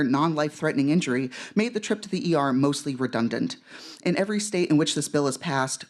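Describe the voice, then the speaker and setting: Fast-talking man reading a statement. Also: on the high side. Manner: fast, with hesitant fillers. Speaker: member of the public testifying.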